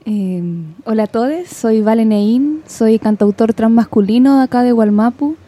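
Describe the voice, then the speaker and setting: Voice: mid-range voice, normal speed, mellow, dry recording. A transmasculine singer-songwriter from Chile, introducing himself hesitantly on the radio.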